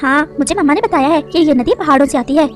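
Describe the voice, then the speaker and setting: A woman's voice: high voice, fast, soft background music, close to an everyday voice. A woman voicing an innocent, obedient little boy sparrow in a cartoon, with a childlike, earnest manner.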